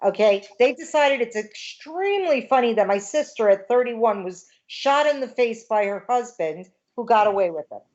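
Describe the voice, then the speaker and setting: A woman's voice: on the high side, expressive, normal speed, hardly any pauses, darkish tone, highs cut off. A woman in her fifties, a guest on a casual livestream chat, talking indignantly in a rush with many fillers. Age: 50s.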